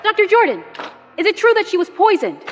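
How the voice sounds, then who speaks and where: A persistent young reporter in a radio drama, pushing her questions at a press conference. Her voice is high and animated, and it rings with a long hall reverb. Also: fairly quick, faint music behind, full character voice.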